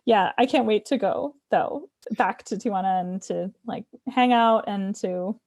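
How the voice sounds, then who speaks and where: An American woman speaks in an informal, conversational way at a middling pitch. She is a choir conductor chatting with a colleague and often laughs as she talks. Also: a little slow, a little mellow. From Fort Wayne, Indiana, United States. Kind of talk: casual conversation.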